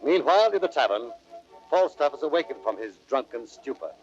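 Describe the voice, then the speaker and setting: A man's voice: mid-range voice, very animated, a little fast, a little mellow. A man giving scripted dramatic narration as the narrator of a play. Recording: muffled vintage recording. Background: clear music.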